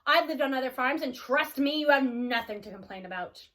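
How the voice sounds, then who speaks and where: A woman's voice: high voice, lively intonation, fairly quick, fairly bright tone. A woman voicing an old female cow in a storybook, stern and earnest in manner. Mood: scolding. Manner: scolding, earnest.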